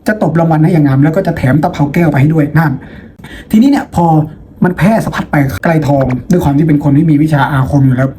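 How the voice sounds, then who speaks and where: A man with a soft, mellow voice gives an informal lesson as if telling a story to his students. He is chatty and playful and likes to ask questions he answers himself. There is some steady noise behind him. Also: a little high, fast talker. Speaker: teacher and host of a lesson video. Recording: noticeable background noise.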